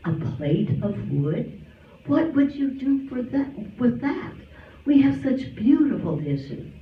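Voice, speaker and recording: A man's voice: very high voice, sing-song, takes it slowly, a little hiss or hum, pitched above the performer's own voice, heavily acted. A man reading the part of an impatient folk-tale father in an emphatic, declamatory way. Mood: surprised. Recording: distant echoey hall recording, thin and phone-like.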